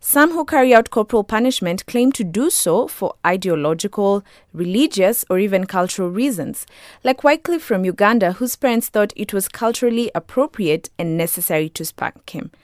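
A woman's voice: medium pitch, animated, normal speed, no room sound, studio quality. Female show host, scripted delivery. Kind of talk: scripted radio show presenting.

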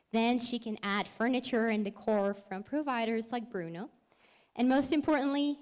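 A young woman from Peru presenting her startup on stage. She explains things earnestly and sometimes corrects herself, and her voice sits a little high for a woman. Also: a little mellow. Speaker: startup founder pitching.

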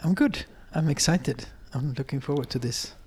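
A man's voice: on the high side, moderate pace, darkish tone, breathy edge. A middle-aged man from Sweden with a Swedish accent, a dance professor talking as a guest in an interview, hesitant and full of fillers as he gathers his thoughts. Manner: hesitant, many fillers and restarts. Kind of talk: conversational interview. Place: apartment.

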